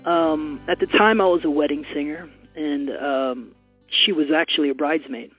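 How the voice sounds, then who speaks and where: A man's voice: fairly high pitch, moderate pace, husky. A man telling his love story in a casual, hesitant way, a little nervous, with many ums. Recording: tinny phone-like audio with faint music.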